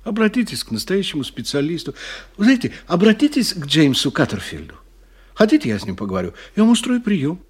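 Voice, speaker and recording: An actor playing a kindly, awkward family friend in a radio drama, coaxing and hesitant, eager to help. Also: male voice, a little high, very animated, fairly quick, darkish tone, slightly rough. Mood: agitated, urging. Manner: coaxing, hesitant, repeats words.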